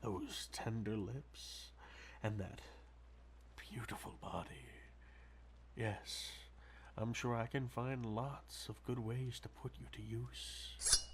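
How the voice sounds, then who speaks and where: A man playing a sadistic, possessive vampire lord in an ASMR roleplay, with a silky, mocking, theatrical drawl and breathy, seductive touches. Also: medium pitch, fairly even intonation, takes it slowly, long pauses, fairly bright tone, a little hiss or hum. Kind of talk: ASMR audio roleplay, intimate dramatic monologue.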